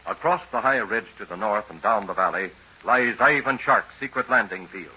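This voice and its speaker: A man's voice: on the low side, moderate pace, pushed and bright, husky. A man narrating a 1940s radio serial as announcer, with scripted dramatic delivery. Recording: muffled old radio recording.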